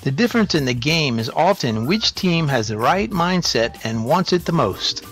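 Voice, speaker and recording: Male narrator, slightly high-pitched, for a motivational script; measured and didactic, with light music underneath. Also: lively intonation, a bit bright, slightly rough. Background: background music.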